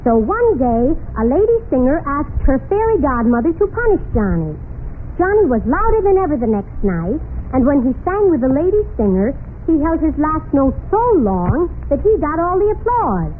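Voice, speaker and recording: Female bedtime storyteller, high and very animated, vintage radio, thin noisy broadcast sound. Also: normal speed, narrow, tinny audio. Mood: playful storytelling. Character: storytelling lady humoring a little boy. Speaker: guest performer telling a story. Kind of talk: 1930s radio acting, bedtime-story narration.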